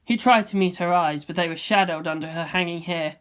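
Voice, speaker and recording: Woman reading a fanfic story aloud, voice a bit on the low side, narrator style. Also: brisk. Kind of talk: scripted fan fiction narration.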